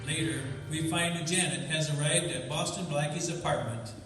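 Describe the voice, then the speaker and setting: A man's voice: fairly high pitch, moderate pace, natural voice. A man playing the dramatic announcer of an old-time radio mystery serial, reading scripted narration. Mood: dramatic, announcing. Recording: echoey hall, distant mic, loud music. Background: loud music.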